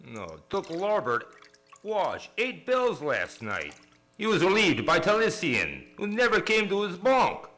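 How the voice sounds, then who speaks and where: Haunted, half-mad sailor, halting and stammering, sharp voice with swooping pitch. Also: male voice, wildly swooping pitch, faint music behind, exaggerated voice. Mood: uneasy, haunted. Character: terrified ship's mate sliding into raving madness. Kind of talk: old-time radio play acting.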